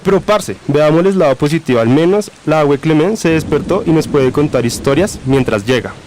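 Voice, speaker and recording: A man's voice: on the high side, lively intonation, a little fast, a little mellow, slight background noise, close to an everyday voice, poor audio. A man playing a naive, whiny young Colombian grandson who mixes English into his slang in an amateur radio drama. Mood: naive curiosity. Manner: Spanish slang peppered with English.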